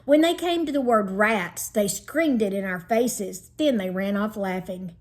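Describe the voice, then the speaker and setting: A woman narrating a children's storybook in an expressive, dramatizing read-aloud style. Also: medium pitch, measured pace.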